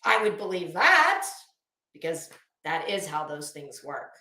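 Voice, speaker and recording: A woman's voice: low-pitched, sing-song, a little slow, pushed and bright, echoey room. A woman delivering an opinionated online commentary rant, blunt, scolding, emphatic and sweary. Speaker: online commentary video host.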